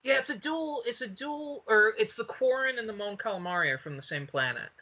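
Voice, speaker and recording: A man hosting a casual comedic podcast, riffing excitably and swearing freely. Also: sits high, fairly quick, husky, lo-fi sound. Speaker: podcast host.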